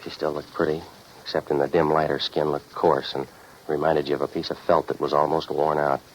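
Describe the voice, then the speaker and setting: An actor playing a cynical, deadpan private investigator in a scripted old-time radio detective drama. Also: male voice, rumbling low, a little fast. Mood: dry, sardonic. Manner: deadpan, sardonic. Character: cynical hard-boiled private investigator, wisecracking loner. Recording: noisy muffled old radio recording.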